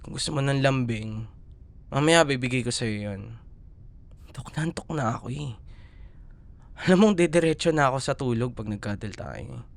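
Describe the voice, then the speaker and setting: Casting: male actor for a young Filipino boyfriend in an audio roleplay; playful, nagging yet affectionate student, very animated intonation, medium pitch. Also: moderate pace, creaky, pauses often. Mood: teasing, tired. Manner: teasing, coaxing, expressive. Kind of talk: ASMR boyfriend roleplay, casual Tagalog banter.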